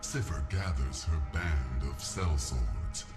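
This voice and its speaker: An actor playing a grave storyteller of an ancient desert legend, narrating a game cinematic in a solemn, measured way. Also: male voice, a bass voice, animated, full character voice. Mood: grave, ominous. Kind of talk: dramatic scripted cinematic narration. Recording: loud music under the voice. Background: loud cinematic music.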